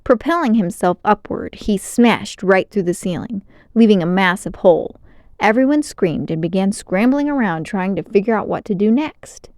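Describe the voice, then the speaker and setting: Expressive woman storytime narrator, dry sound. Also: medium pitch, moderate pace, no room sound. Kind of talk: expressive children's audiobook narration.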